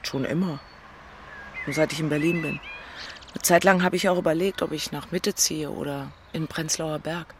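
A woman's voice: sits low, steady tone, normal speed, noisy background, close to an everyday voice. An actress playing an exalted, insecure single Berlin woman in middle age, in an intimate, hesitant radio drama style. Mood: tentative, casual. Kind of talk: German radio drama, intimate dialogue and inner monologue.

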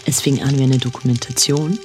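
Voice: medium pitch, level tone, a little fast, over music, lightly acted. An actor playing a matter-of-fact narrator who sets scenes in a radio drama.

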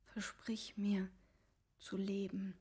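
An actress playing a tender, dying young woman in a German radio play, in a faint, breathy whisper. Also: mid-range voice, level tone, slow, mellow, full character voice. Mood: faint pleading.

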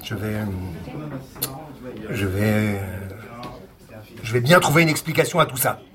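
A man's voice: fairly low pitch, lively intonation, a little slow, a crowd in the background. A man playing a stubborn, awkward police detective in a comic audio drama, earnest and self-dramatizing, sometimes tripping over his words.

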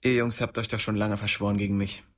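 A man's voice: on the low side, flat delivery, quick pace, natural voice. A male actor in a radio play, playing a cornered young gang boss who is bitter, guarded and accusing. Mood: bitter, bargaining.

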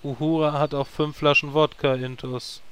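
Casting: male actor as sarcastic druid, fairly high natural voice, exasperated, parody audio drama. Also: little pitch movement, normal speed, clear, resonant tone, lightly acted. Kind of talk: German fantasy parody audio drama acting.

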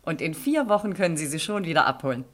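An actress playing a brisk, matter-of-fact woman at a repair shop counter in a German radio play, businesslike and curt. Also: low-pitched, very animated, fairly bright tone, heavily acted.

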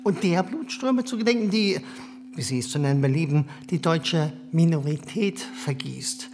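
Cynical privy councillor, condescending, pitch swooping up and down. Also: male voice, lively intonation, normal speed, background noise. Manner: smooth, condescending, hesitant fillers. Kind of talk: radio play acting.

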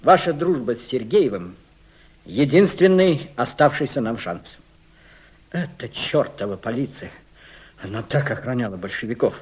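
An actor playing an imperious, cold Italian fascist conspirator in a Soviet radio drama, giving clipped theatrical orders. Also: male voice, medium pitch, expressive, moderate pace, a little mellow, slightly rough. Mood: impatient, commanding. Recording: dull lo-fi radio play recording.

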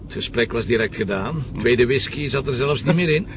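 An actor plays a sardonic male police chief with a Flemish accent and a medium-pitched, blunt delivery. The radio drama sound is dull, with clear noise behind the voice. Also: normal speed, lightly acted. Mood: wry. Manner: wry, blunt.

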